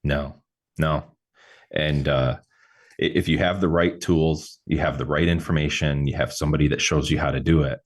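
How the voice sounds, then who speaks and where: A man with an extremely deep, low voice hosts a relaxed talk. He speaks haltingly, circling back and restarting his sentences as he goes. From New York State. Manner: halting, many restarts and fillers. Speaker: podcast host, mover. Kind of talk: casual interview chat.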